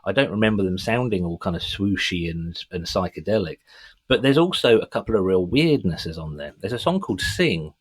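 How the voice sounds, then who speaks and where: A man's voice: deep voice, expressive, a little fast, husky. A middle-aged man co-hosting a music podcast, chatting casually with an enthusiastic, sweary fan's manner. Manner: enthusiastic, sweary fan talk.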